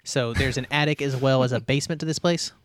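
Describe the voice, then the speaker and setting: Quick-talking man playing a tabletop game. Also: medium pitch, smooth, no echo, clean studio sound. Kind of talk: casual chat.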